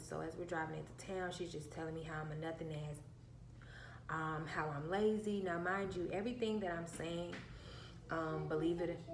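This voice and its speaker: A woman with a soft, childlike, fairly low voice tells a personal story in a casual way. She is emphatic and piles up repeated phrases. The sound is roomy and echoey, with a faint hum behind her. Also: vocal fry. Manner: emphatic, repeats herself for effect. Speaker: vlogger.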